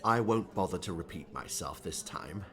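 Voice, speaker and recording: A man voicing a polite, genial, mildly dry businessman with a measured, courteous manner in an audiobook. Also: deep voice, expressive, fairly bright tone. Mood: gentle, philosophical. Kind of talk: audiobook character dialogue.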